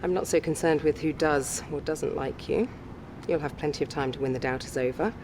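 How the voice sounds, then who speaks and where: A woman's voice: deep voice, background noise. Composed, businesslike woman sports agent. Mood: composed, advising. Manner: measured, reassuring, professional. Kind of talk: scripted game cutscene dialogue.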